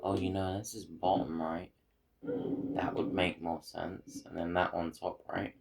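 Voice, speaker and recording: Deep gravelly man thinking aloud over game music. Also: little pitch movement, unhurried, very noisy. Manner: muttering to himself, thinking aloud. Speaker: gaming video host. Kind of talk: casual gameplay commentary. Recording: loud game music behind voice. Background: video game music.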